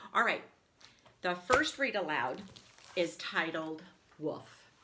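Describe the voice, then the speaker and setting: A woman's voice: medium pitch, sharp tone. A woman school librarian doing a children's storybook read-aloud, talking to pupils in a reassuring, explanatory way.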